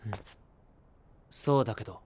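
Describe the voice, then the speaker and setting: An actor playing an aloof, quietly earnest young would-be knight, terse and reserved, in an anime voice drama. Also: male voice, moderate pace, close to an everyday voice. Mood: quiet, resolute. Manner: terse, soft-spoken, reserved.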